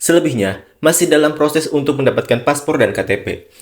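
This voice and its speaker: A man in scripted narration, reading football news and online comments in an even, read-aloud way. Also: a little high, fairly quick. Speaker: sports channel narrator.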